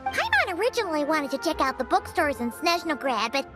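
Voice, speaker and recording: A woman voicing a tiny, excitable, greedy fairy companion in an animated game, exclaiming and talking about herself by name. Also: high voice, a little fast, loud music behind the voice. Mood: playful, bragging. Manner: refers to self in third person, exclamatory. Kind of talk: animated game cutscene acting.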